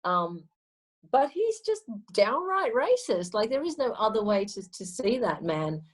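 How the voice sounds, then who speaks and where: A woman's voice: fairly high pitch, sing-song, measured pace. A woman with an Australian accent, a guest speaking emphatically in a casual conversation and repeating phrases for stress.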